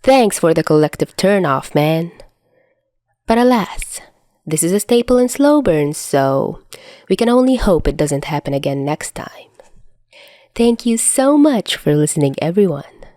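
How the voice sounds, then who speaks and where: A woman narrating fan fiction as an audio reader, in an expressive storytelling style. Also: fairly low pitch, a little slow, no room sound, clean studio sound. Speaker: podfic narrator.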